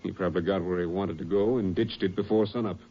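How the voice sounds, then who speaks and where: An actor playing a grim, determined Texas Ranger captain in an old radio crime drama, stern and commanding. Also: male voice, deep voice, steady tone, fast. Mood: businesslike. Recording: muffled, hissy old radio recording.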